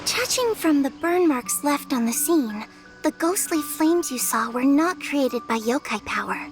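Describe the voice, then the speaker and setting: A woman voicing a small, childlike, curious companion in a video game, expressive and inquisitive. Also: high voice, unhurried, music in the background. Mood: pondering. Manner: expressive, questioning.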